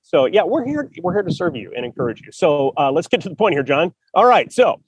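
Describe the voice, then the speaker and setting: A man with a somewhat high voice rambles and jokes through a casual podcast chat. He is on a video call from an outdoor park, and his voice comes through dry and close. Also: very animated, slightly rough, no room sound. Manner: jokey, rambling, quick to laugh. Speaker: co-host, writer. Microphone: video call over spotty public Wi-Fi.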